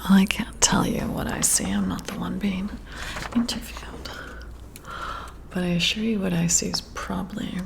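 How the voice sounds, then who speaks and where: A woman's voice: lowish voice, gravelly. A woman playing a flirty, intrigued boss giving a personality test in an ASMR roleplay, whispering breathily and admiringly, close to the mic. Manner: slow, flirty, admiring, softly hesitant.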